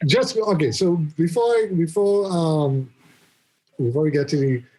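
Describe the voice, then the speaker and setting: A man's voice: on the high side, unhurried, background noise. A man in his forties co-hosting a casual podcast, rambling and restarting, with a teasing streak. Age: 40s. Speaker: podcast co-host. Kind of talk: casual chat. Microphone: remote call.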